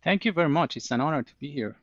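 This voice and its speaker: A man, a podcast guest, explaining his work in a measured way with frequent pauses. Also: fairly high pitch, animated, dry recording, muffled recording. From Iran. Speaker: guest, oil trading commercial operator. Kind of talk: casual interview answers.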